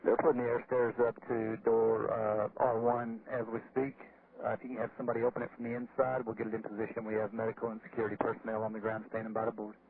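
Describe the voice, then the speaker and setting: Male emergency command voice, quick operational updates, noisy thin two-way radio. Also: lowish voice, monotone, narrow, tinny audio.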